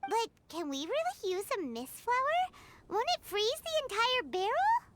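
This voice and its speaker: A woman voicing a tiny, excitable fairy companion in a childlike, squeaky voice for a video game. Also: a little slow, a little hiss or hum, full character voice. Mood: surprised, excitable. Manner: exclamatory, exaggerated reactions.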